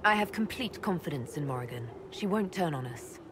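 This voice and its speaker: An actress playing a composed, level-headed female leader in a fantasy video game, calm and plain-spoken. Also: low voice, a little fast, noisy background. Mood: calm, questioning. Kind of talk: video game dialogue acting.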